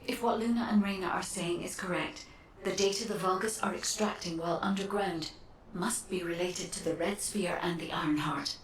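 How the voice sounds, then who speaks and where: A woman's voice: on the low side, fairly even intonation, moderate pace, roomy sound, recorded from a distance, close to an everyday voice, low-quality recording. An actress playing a composed female guide in a video game, speaking formally and precisely with analytical focus. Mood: serious, urgent. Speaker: actor voicing a game character. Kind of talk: scripted game dialogue.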